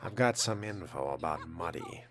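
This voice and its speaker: A man role-playing a dry, smug young vampire in a video game, muttering deliberately and deadpan. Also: low voice, crowd noise. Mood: deadpan, wry. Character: male vampire fledgling, dry, smug, self-amused loner.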